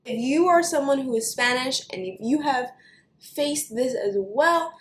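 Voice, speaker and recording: A young woman with a New York accent, ranting to camera for her vlog in an animated, chatty way with plenty of "like". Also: a little high, echoey room, slight background noise. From United States. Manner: animated, fast, chatty with many likes. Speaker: beauty vlogger.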